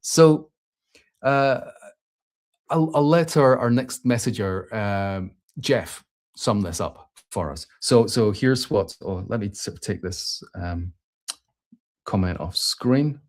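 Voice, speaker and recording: A man's voice: on the low side, expressive, slow. A man hosting a casual question-and-answer show, thinking aloud as he responds to comments, with frequent restarts and filler sounds. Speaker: host.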